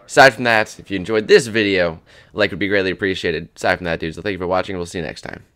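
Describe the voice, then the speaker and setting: Hoarse, fast-rambling young guy. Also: deep voice, a bit bright. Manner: rambling, crude, jokey, lots of "dude" and "man". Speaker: gaming channel host. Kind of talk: casual rambling commentary over gameplay.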